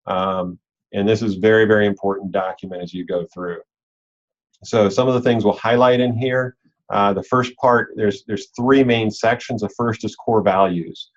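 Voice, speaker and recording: A man with a fairly low voice talks coaches through material on a conference call. His delivery is informal and instructional, circling back to key points. The sound is dull and a bit lo-fi, like computer call audio. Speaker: robotics league organizer and coach leading a call.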